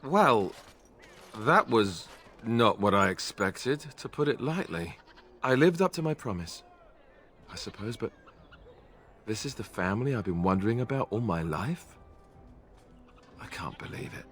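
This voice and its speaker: A man playing an earnest, insecure, soft-hearted young warrior in a video game, speaking hesitantly. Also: lowish voice, big pitch swings, measured pace, pauses often. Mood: hurt, dejected. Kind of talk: video game character acting.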